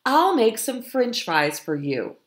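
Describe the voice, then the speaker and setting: A woman voicing a calm, cheerful old male fox cook from a storybook, unruffled and deliberate. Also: a little high, pitched above the performer's own voice.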